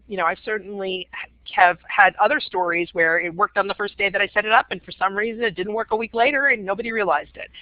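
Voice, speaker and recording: A woman presenting a webinar in a relaxed, conversational way, dropping "um" into nearly every sentence. Her voice sits at a middle pitch. The audio is dry and slightly dull, like a typical online webinar recording. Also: no room sound. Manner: conversational, frequent "um" fillers.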